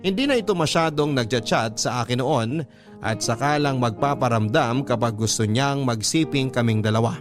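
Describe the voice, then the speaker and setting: A man's voice: mid-range voice, normal speed. Filipino man narrating over music. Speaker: radio drama narrator. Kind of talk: first-person dramatic story narration. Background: background music.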